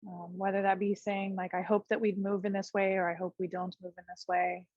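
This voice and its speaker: Husky woman guiding a seated meditation. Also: mid-range voice, little pitch movement, no room sound, dull audio. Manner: gentle, unhurried, pausing between short phrases. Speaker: yoga and meditation teacher. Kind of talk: guided meditation, unscripted class instruction.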